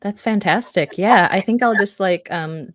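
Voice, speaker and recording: A woman's voice: mid-range voice, normal speed, no room sound. A woman hosting a live podcast panel in a casual, informal and effusive way.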